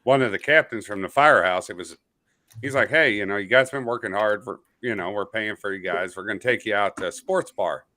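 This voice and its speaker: An American man with a fairly low but bright, forceful voice chats on a podcast. He tells personal stories casually, and the sound is close and dry. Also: fairly quick, no echo. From St. Louis County, Missouri, USA. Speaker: podcast panelist, firefighter.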